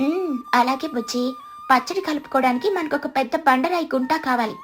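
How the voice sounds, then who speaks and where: A woman's voice: high-pitched, brisk, over music, lightly acted. A woman voicing a kindly, encouraging female village bird who organizes everyone in a children's cartoon, speaking expressively. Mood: organizing. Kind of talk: Telugu children's cartoon dialogue.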